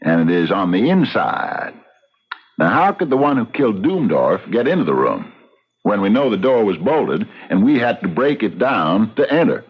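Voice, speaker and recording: A shrewd frontier sheriff on a dull, slightly noisy radio drama recording. He reasons in a deliberate, folksy way, with a low voice that rises and falls freely. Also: big pitch swings, breathy edge, heavily acted. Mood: probing, methodical. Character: shrewd, unhurried frontier sheriff, calmly puzzling out a crime. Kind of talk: old-time radio drama acting.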